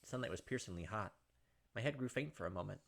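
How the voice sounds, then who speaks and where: A man's voice: lowish voice, animated, quick pace, creaky, fairly bright tone, slight background noise. A man hosting a playthrough, reading a visual novel aloud as emphatic dramatic narration with casual asides. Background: game music.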